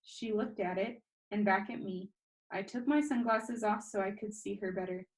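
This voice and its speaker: A woman's voice: medium pitch, fairly even intonation, moderate pace, poor audio. Woman reading a children's novel aloud. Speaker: storybook reader. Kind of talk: audiobook-style narration of a children's novel.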